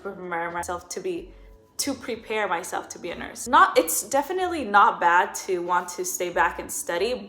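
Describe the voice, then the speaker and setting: A woman's voice: lowish voice, fairly bright tone. A woman in her twenties talking earnestly in a personal vlog. She often repeats words for emphasis. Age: 20s. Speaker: vlogger.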